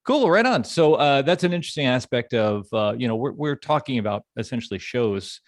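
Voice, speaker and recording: A man hosting a casual panel conversation, speaking in a halting, thoughtful way. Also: mid-range voice, animated, dry recording, studio quality. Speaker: podcast host.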